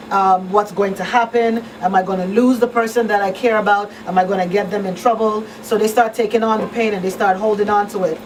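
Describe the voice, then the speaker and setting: Female talk show host, middle-aged, medium pitch, earnest and emphatic; slightly off-mic, faint background noise. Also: recorded from a distance, rough recording. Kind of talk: conversational advocacy talk. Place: small radio studio. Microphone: boom-arm studio microphone.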